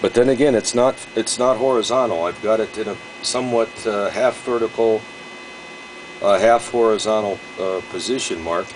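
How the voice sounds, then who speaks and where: A man chatting casually as an amateur radio operator, speaking haltingly with frequent pauses. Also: on the low side, slight background noise, rough recording. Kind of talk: casual radio contact chat.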